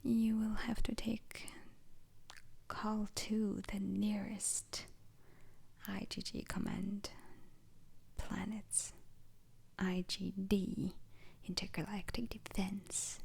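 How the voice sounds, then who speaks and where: A woman playing a calm, formal instructor at a space agency in an ASMR roleplay, whispering slowly and haltingly with a breathy, husky voice. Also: medium pitch, full character voice. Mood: calm, instructive. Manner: slow, deliberate, halting, formal.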